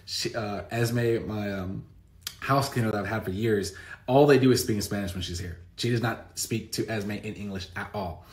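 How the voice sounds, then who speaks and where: Low-voiced man rambling to his phone. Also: normal speed. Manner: rambling, restarts often, many "like" and "um" fillers. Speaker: vlogger answering viewer questions. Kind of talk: casual to-camera chat. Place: indoors in a bright home room.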